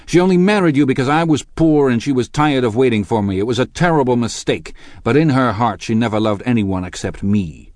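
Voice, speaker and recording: A male reader plays a proud gentleman in love, speaking in an audiobook. The voice is deep and dryly recorded, with a polite manner and a defiant edge. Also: dry recording, studio quality. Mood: excited. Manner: polite, measured, turning intense. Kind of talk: audiobook character dialogue.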